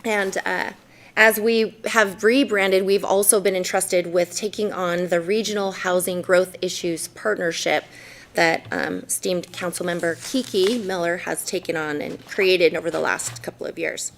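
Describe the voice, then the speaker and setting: A woman's voice: lowish voice, fairly even intonation, measured pace. A woman in her forties from Idaho giving a formal presentation in a city council chamber, earnest and sprinkled with ums and self-corrections. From Idaho, USA.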